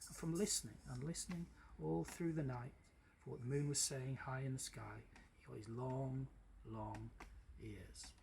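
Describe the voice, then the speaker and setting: A man reading a children's story aloud in an expressive storytelling style. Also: medium pitch, moderate pace. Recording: clearly noisy background.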